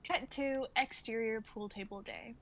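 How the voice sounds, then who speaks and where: A woman's voice: fairly high pitch, unhurried, fairly bright tone. A young adult woman reading stage directions aloud in a dramatic script reading.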